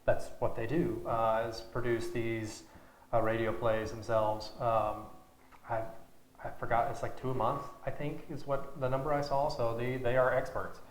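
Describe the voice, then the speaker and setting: With room echo and a faint noise behind him, a man of medium pitch talks casually in an interview. He explains things in a plain, slightly halting way. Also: flat delivery. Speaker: theater director being interviewed.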